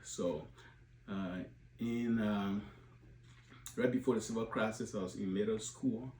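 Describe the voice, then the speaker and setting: Slow, deep-voiced man, halting. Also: steady tone, darkish tone. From Liberia. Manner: halting, many filler sounds and restarts. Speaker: organization leader addressing supporters. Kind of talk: unscripted video address. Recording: roomy echo, faint background hum.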